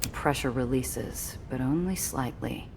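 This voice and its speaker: A woman's voice: a bass voice, measured pace, vocal fry, a little mellow, slight background noise, exaggerated voice. A woman playing a gritty, defiant adventurer in a video game, narrating her own peril in dramatic hardboiled noir style. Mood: tense, suspenseful. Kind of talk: scripted hardboiled noir first-person narration.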